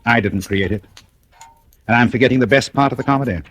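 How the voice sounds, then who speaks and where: An actor playing a suave, mocking, cold-blooded British blackmailer in an old-time radio thriller, speaking with urbane deliberation. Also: male voice, medium pitch, quick pace, a bit bright, natural voice. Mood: smug, taunting. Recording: noisy vintage radio recording with clicks.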